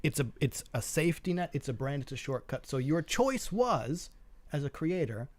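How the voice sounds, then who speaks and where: A man with a slightly high voice talks in a casual, opinionated way, as a co-host commenting on hobby news. He argues his points conversationally and keeps tossing in little rhetorical questions. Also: big pitch swings, normal speed. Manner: opinionated, argumentative, punctuated with rhetorical "right?". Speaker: show co-host.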